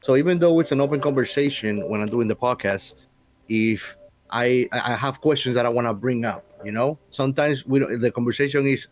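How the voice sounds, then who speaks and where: A man's voice: medium pitch, a little fast. A man being interviewed as a guest, explaining things in an informal, casual conversational way.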